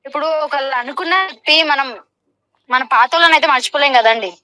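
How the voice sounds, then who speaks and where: A woman's voice: high voice, fast talker, bright, forceful tone. A young woman chatting casually as a guest in a phone interview.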